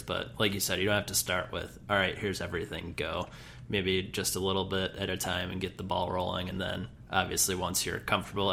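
A man from a translation provider, calmly explaining workflow in a relaxed, casual way. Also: low-pitched, creaky, slight background noise. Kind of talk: conversational business webinar.

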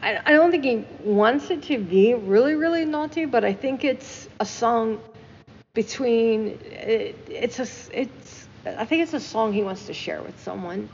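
A woman's voice: fairly high pitch, animated, unhurried, darkish tone, big-room sound, dull audio, a little hiss or hum. A woman co-hosting a casual talk show, discussing music emphatically and repeating phrases for stress. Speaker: podcast co-host. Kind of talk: casual discussion of song lyrics.

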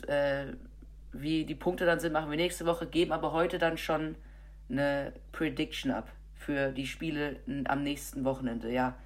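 A woman with a cold, co-hosting a podcast and chatting casually about football with many fillers and restarts. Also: low voice. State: has a cold. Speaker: podcast co-host.

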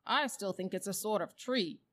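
A woman's voice: medium pitch, normal speed, fairly bright tone, close to an everyday voice. A woman voicing a blunt, opinionated talking warthog in a storybook, dismissive and sure of itself. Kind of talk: storybook character voice.

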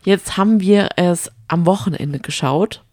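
A woman's voice: lowish voice, a little slow, a little mellow, dry recording, clean studio sound. A woman co-hosting a casual podcast, bantering in a chatty, teasing way. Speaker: podcast co-host. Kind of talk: casual banter recapping reality TV.

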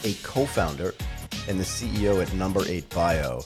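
A man's voice: deep voice, animated, normal speed, over music. A man hosting a podcast, asking curious, enthusiastic questions. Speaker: podcast host. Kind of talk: podcast interview.

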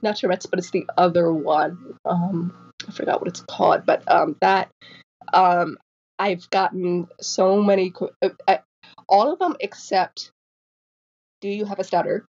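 A woman's voice: fairly low pitch, unhurried, highs cut off. A woman in her twenties who stutters, with repeated sounds and restarts, chatting casually as a guest author and acting out the people in her stories. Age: 20s. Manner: animated storytelling, acting out quoted people. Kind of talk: casual interview chat.

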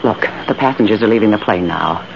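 A woman's voice: a bass voice, expressive, fast, heavily acted. An actress playing a cold, ruthless, commanding woman schemer in 1940s radio drama style, giving decisive orders. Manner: decisive, domineering, crisp orders. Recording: noisy, muffled old radio recording.

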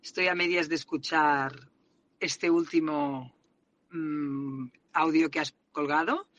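A woman's voice: low-pitched, steady tone, takes it slowly, a bit bright. A woman with a Catalan accent sending a grateful, reflective voice message while driving. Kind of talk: recorded voice message. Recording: muffled voice message from a car.

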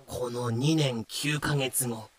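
A man's voice: mid-range voice, fairly even intonation, slow pace. A man narrating a scripted audio drama.

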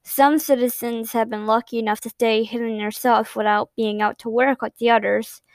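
A gentle, innocent young boy with a sweet, reassuring way of talking, as a woman reads him aloud. His voice sits high and bright, close and dry as if spoken right into the mic. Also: fairly even intonation, moderate pace, dry recording, lightly acted.

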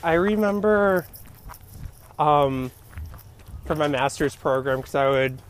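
A man's voice: fairly high pitch, takes it slowly, slight background noise. Laughing young man, casual podcast chat. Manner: laughs often, relaxed rambling with fillers. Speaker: podcast co-host.

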